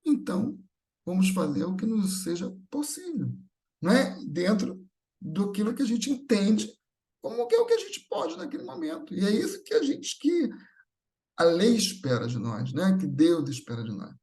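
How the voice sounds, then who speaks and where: Man, Brazilian accent, high voice, swooping intonation, conversational sermon, teacherly, repeats phrases for emphasis. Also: very animated, moderate pace, a little mellow. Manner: didactic, repeats phrases, frequent rhetorical questions and check-in tags. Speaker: speaker at a Spiritist gathering. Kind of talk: spiritual talk, conversational preaching.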